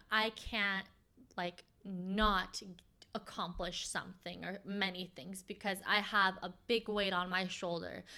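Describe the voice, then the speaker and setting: A young woman with Farsi as her first language talks openly on her podcast, pausing often and searching for words. Her voice is bright and crisp. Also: medium pitch. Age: young adult. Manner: reflective, open, halting with fillers. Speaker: podcast host. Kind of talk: casual heart-to-heart chat.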